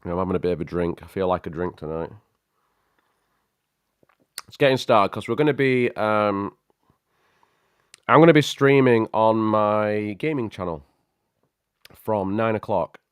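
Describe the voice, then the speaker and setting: A man with a lowish, smooth voice hosts a relaxed tech livestream, chatting easily and calling out viewers by name. His voice has a slight croak from a lingering cold, and the sound is close and dry, with no room echo. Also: unhurried, pauses often. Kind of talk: casual livestream chat. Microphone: studio microphone.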